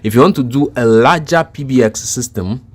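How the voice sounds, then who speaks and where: A man's voice: medium pitch, normal speed, slightly rough. A man giving an unscripted, explanatory tech tutorial as an instructor, methodical and repeating his points for emphasis.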